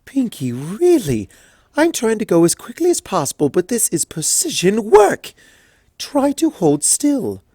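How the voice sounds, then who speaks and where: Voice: medium pitch, huge pitch swings, a little slow, mellow, dry recording, studio quality, voice pushed up. A reader voicing a refined, fussy unicorn seamstress mare in a storybook reading, prim and genteel. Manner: prim, genteel, says darling. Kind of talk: audiobook fanfic reading.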